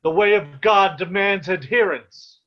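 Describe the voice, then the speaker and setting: Man playing stern medieval churchman, high-pitched for a man, formal, commanding, dramatic table read, dull sound. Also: measured pace. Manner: formal, imperious. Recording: dull, lo-fi video call audio.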